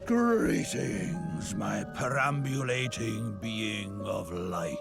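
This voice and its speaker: A man voicing a slow, rambling talking tree in a video game cutscene. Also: fairly low pitch, sing-song, vocal fry, full character voice. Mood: slow, friendly. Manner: hesitant, rambling. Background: game music.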